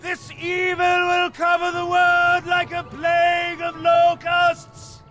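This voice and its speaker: Fanatical game doomsayer: very high, drawn-out fearful ranting, music clearly behind. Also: male voice, monotone. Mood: frantic, menacing. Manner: ranting, apocalyptic preaching. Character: frantic male doomsayer, fanatical and fearful, preaching doom. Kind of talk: video game acting.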